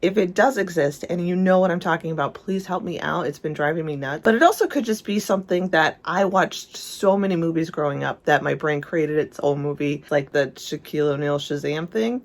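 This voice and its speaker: A low-pitched woman talks casually about film as a video essayist. She is enthusiastic and readily gives her opinions. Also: a little fast, hardly any pauses. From England.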